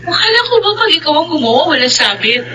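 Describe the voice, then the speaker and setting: Very sharp tone, conspiring confidante, lively pitch swings, nagging, scheming, melodrama. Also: female voice, fast, slight background noise, heavily acted. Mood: exasperated. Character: conspiring female confidante of the rich son. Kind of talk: Filipino radio-style melodrama acting.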